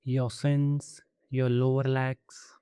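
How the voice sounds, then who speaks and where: A man guides a relaxation meditation in a very warm, dark, relaxed voice at a medium pitch. His delivery is soothing and gentle, with space left between short instructions. The sound is dry and intimate. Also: drawn-out words, clear, resonant tone, no room sound. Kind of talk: guided body scan meditation.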